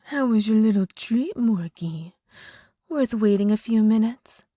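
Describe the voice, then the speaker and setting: Woman acting as creepy possessive witch gf, kinda high voice, sugary n slow, asmr roleplay style. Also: lively intonation, warm tone, no room sound, studio quality. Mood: cooing, gleeful. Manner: sugary, cooing, drawn-out words with menacing undertone. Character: possessive young witch girlfriend, sweetly menacing, gaslighting. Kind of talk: ASMR roleplay audio drama.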